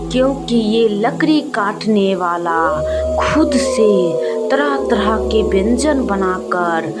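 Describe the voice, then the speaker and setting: A woman storyteller narrating a cartoon horror tale for children in a simple, repetitive oral style. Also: mid-range voice. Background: music.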